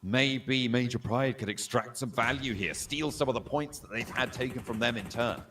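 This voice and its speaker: A man calling live esports action with rapid, punchy play-by-play. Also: mid-range voice, sharp tone, slight background noise.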